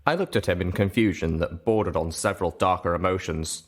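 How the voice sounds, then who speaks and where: Male audiobook narrator, lower-pitched, delivering scripted first-person fiction. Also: a little fast.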